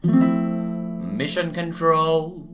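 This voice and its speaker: A woman's voice: deep voice, animated, extremely slow, full character voice. A woman playing a searching radio caller who hails mission control in a scripted intro over music.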